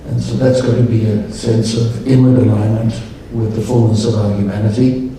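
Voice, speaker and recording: A man speaking at a conference, leading a guided meditation in a calm, slow, measured way. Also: on the low side, slight background noise. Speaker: meditation leader. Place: large conference hall. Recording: echoey hall, distant mic.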